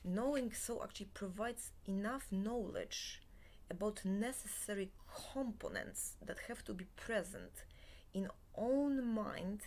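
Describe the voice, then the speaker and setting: A woman in her forties, a Polish native speaker, giving a halting, half-whispered talk into a laptop webcam in a small bed-and-breakfast room. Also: medium pitch, darkish tone. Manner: halting, many filler pauses, often half-whispered. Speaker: self-help lecturer. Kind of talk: slow unscripted monologue to webcam.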